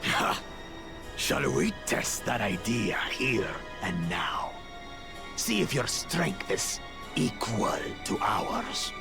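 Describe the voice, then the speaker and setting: A man's voice: mid-range voice, wildly swooping pitch, extremely slow, creaky, full character voice. A man voicing a boastful, bullying two-headed reptilian brute in a video game, pompous and sneering. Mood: contemptuous. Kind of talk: scripted video game voice acting. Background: music.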